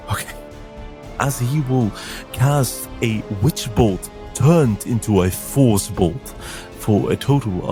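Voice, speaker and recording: A man playing a tabletop game, dramatically describing his character's actions. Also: medium pitch. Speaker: player.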